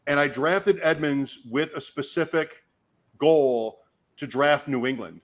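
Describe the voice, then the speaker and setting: A man, hoarse from talking, hosting a casual fantasy sports show and commentating in short, fragmented phrases as he thinks out loud. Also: fairly high pitch, takes it slowly. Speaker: fantasy football show host giving draft advice. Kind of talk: casual talk, live draft commentary.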